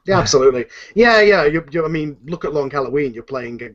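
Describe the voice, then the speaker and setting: A man's voice: fairly high pitch, expressive, fast, fairly bright tone, muffled recording. A man hosting a casual panel discussion in a rambling, effusive way. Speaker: host and series producer.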